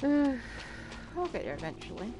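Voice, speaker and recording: Lively, wry woman streaming a game. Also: fairly high pitch, slow pace, gravelly, rough recording. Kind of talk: casual game stream commentary. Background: game music.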